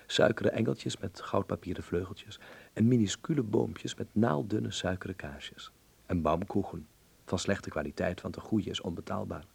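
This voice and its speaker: An actor playing an earnest, dreamy young Dutch filmmaker in a radio drama, speaking politely and precisely with a husky, creaky voice. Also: male voice, low voice, normal speed, a little mellow, full character voice. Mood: reflective. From Netherlands. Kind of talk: radio drama acting with letter narration.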